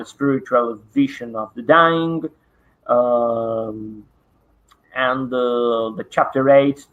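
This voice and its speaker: A man whose first language is Spanish gives an online conference lecture in English. He hesitates often, filling pauses and repeating words. His voice sits at an ordinary pitch, and the call audio is a little dull. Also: a bit bright. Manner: halting, many fillers and repeated words. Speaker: conference presenter.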